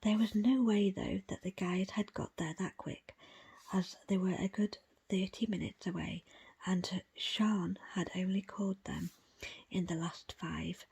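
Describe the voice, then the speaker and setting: A woman reading a book aloud in an ASMR style, in a breathy, unvoiced whisper with hushed, measured phrasing. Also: medium pitch, steady tone. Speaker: book reader presenting an audiobook extract.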